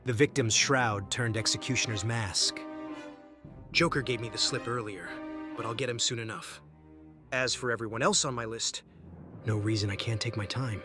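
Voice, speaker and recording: A man's voice: mid-range voice, moderate pace, over music, close to an everyday voice. A man playing a bitter, sardonic young vigilante in a superhero comic dub, taunting as an estranged former sidekick. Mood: sardonic, calm. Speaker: voice actor in a fan comic dub.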